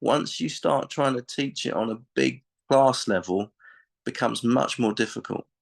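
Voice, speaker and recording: A man's voice: medium pitch, moderate pace, vocal fry. A man answering interview questions as a martial arts instructor, explaining his views emphatically.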